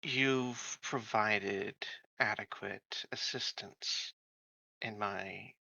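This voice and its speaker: A man playing a tabletop roleplaying game, stammering at first and then speaking slowly and deliberately in character. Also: lowish voice, fairly even intonation, creaky, pushed and bright, dry recording, muffled recording. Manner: halting, stammering starts, then slow measured speech. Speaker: player. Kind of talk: in-character roleplay as a synthetic colonist.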